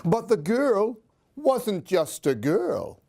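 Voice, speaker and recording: A man's voice: sits high, big pitch swings, drawn-out words, creaky, warm tone. A man hosting a story time, reading Halloween picture books aloud in a theatrical way, with questions and asides for young listeners. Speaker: storyteller and host of a children's book show. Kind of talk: expressive read-aloud of children's Halloween stories.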